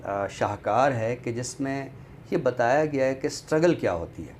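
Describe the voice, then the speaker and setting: A man with a Pakistani accent discussing books in a deliberate, scholarly way, often pausing mid-sentence. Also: medium pitch, animated, darkish tone. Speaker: literary show presenter. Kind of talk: book discussion in Urdu literary talk. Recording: noticeable background noise.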